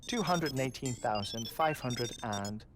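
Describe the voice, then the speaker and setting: An actor playing a courteous, obsessive middle-aged scientist in grief, articulate and wry, in a video game cutscene. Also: male voice, medium pitch, lively intonation, measured pace, over music, natural voice. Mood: matter-of-fact, wry.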